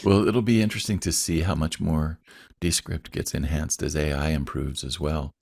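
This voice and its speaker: A man hosts a podcast interview and asks his guest questions in a friendly, eager way. His voice is very deep, gravelly and husky. The recording sounds dry and close. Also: fairly even intonation, normal speed, a little mellow, no echo. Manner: friendly, enthusiastic, laughs often. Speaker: podcast host. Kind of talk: casual interview.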